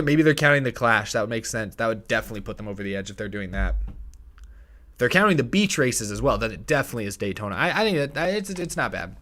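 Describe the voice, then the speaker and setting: A young man in his twenties hosting a casual livestream, thinking aloud and correcting himself, a bit hoarse, on a close studio mic in a small room. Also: on the low side, a bit bright. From United States. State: slightly hoarse, tickle in throat. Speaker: livestream host. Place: small home streaming room. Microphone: headset and studio mic on arm.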